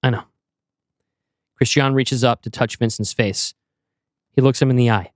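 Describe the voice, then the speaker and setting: Male narrator for scripted audio drama, medium pitch, measured storytelling, very little room sound. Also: steady tone, no room sound, studio quality. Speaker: narrator and host. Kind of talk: scripted screenplay narration in present tense.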